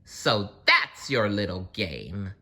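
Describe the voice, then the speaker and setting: A reader plays a witchy woman schoolteacher from a storybook, scratchy and menacing. She gives orders slowly and deliberately, with big swings in pitch and a forceful edge. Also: big pitch swings, slightly rough, heavily acted. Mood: stern, sly. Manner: commanding, drawn-out, menacing. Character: scratchy-voiced menacing witchy substitute teacher, bossy woman.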